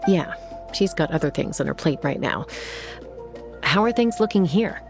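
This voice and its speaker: A laconic, cool-headed adventurer in a fantasy game. Her voice is low with a creaky edge, set over prominent music. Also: expressive, normal speed. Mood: cool, matter-of-fact. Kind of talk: scripted video game dialogue.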